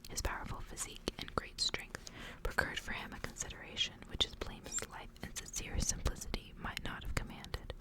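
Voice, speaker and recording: A woman's voice: a little fast, few pauses, low-quality recording. A woman whispering in ASMR style, as a creator reading to listeners.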